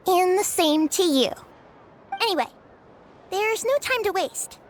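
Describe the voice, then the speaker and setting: A woman voicing a small, cheeky, excitable childlike fairy companion in a video game, with a squeaky voice. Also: slow pace, slight background noise, heavily acted. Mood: boisterous, challenging. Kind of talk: video game character acting. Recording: music behind the voice.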